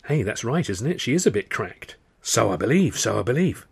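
A man voicing an excitable working-class storyteller spinning a yarn, with a British accent and a colloquial, dramatic delivery. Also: mid-range voice, a little fast, gravelly, husky, heavily acted. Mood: animated.

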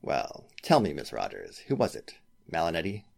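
A man's voice: a bass voice, huge pitch swings, moderate pace, creaky, a little mellow, full character voice. A man playing a scheming, formal lawyer with a killer's intensity in a 1940s-style radio drama. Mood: uneasy probing. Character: scheming, smooth-talking male lawyer turned desperate killer. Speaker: voice actor.

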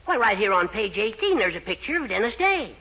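A man's voice: high voice, very animated, a little fast, sharp tone, full character voice. A man playing a gullible, henpecked middle-aged husband in a 1940s radio sitcom, with a meek, folksy, befuddled manner. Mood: astonished. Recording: muffled 1940s radio recording.

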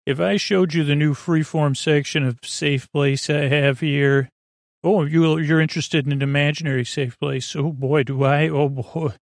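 A man hosting a sleep podcast in a creaky voice, giving a rambling, hesitant bedtime monologue that stammers and breaks off mid-thought. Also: a little high, fairly even intonation, normal speed, dry recording, clean studio sound.

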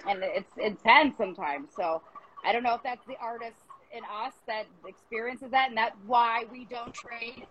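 A woman's voice: a little high, moderate pace, a bit bright, dull audio, a little hiss or hum. A woman hosting a casual arts interview, talking in a rambling, reflective and self-deprecating way. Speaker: host of an arts interview show.

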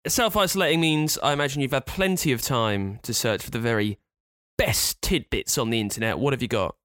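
A man's voice: medium pitch, a little fast, a bit bright, no room sound, clean studio sound. A man hosting a podcast, opening the show and passing to his co-hosts in an upbeat, chirpy manner. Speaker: podcast host. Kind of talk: casual chat, show intros.

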